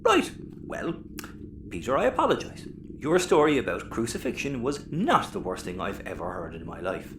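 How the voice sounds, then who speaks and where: A man's voice: medium pitch, huge pitch swings, moderate pace. A man playing a roguish, sardonic, foul-mouthed space captain with an Irish accent in an audio comedy. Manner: sardonic, exasperated. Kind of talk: sci-fi audio comedy acting. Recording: roomy, noisy ambience.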